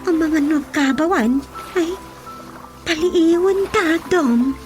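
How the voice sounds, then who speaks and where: A woman playing an older relative in a radio drama, admonishing a young man in a drawn-out way. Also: very high voice. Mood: chiding. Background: music.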